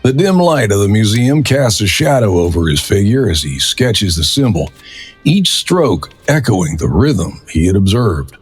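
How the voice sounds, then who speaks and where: A man's voice: on the low side, sing-song, unhurried, husky, soft background music. A man narrating a detective audio drama in a brooding, dramatic storytelling manner. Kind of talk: scripted noir narration.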